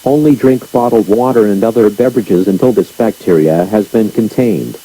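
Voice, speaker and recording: A man's synthetic-sounding voice as an emergency alert announcer, reading stiffly and impersonally, muffled and husky. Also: fairly low pitch, normal speed, warm tone. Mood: impersonal, grave. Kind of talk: scripted emergency broadcast reading.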